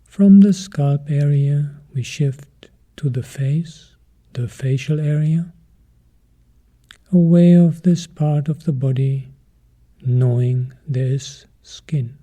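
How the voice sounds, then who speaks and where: Guy teaching meditation, really dark soft-edged voice, slow n calm, leaves gaps between short phrases. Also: fairly high pitch. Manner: measured, calm, sparse phrases with pauses. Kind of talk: guided meditation instruction.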